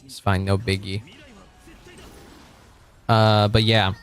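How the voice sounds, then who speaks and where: A man from Argentina streaming casually, rambling, correcting himself and chuckling as he reads chat, with a deep voice. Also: level tone, slow, a bit bright, clean tone, faint music behind.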